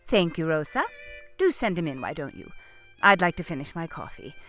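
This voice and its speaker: A woman's voice: low-pitched, sing-song, moderate pace, a bit bright, soft background music. A woman playing a haughty, pampered rich lady of the house in a telenovela parody. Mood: haughty, languid. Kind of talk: telenovela parody audio drama.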